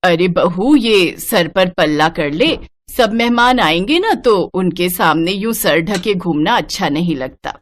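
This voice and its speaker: A woman's voice: lowish voice, animated, unhurried. Cartoon story mother-in-law, played by a female narrator: middle-aged, bossy, scolding, swooping intonation. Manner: scolding, domineering. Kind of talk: animated moral-story cartoon dialogue.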